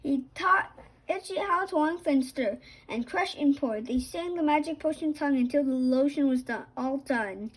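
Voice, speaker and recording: A woman reading a picture book aloud for children, in a slightly halting way. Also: high voice, rough recording. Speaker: children's story reader. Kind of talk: scripted storybook narration for children.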